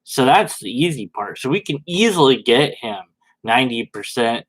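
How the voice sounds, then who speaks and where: A man giving informal, sarcastic commentary and dramatic readings, with a halting, theatrical manner. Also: mid-range voice, very animated, a bit bright, breathy edge, rough recording. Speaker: host reading and commenting on social media posts.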